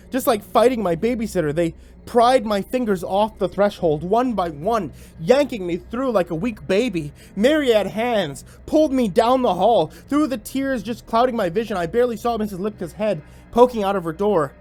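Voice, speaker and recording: Male horror narrator, intense, theatrical, higher-pitched voice, scripted first-person storytelling, background music. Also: a little mellow.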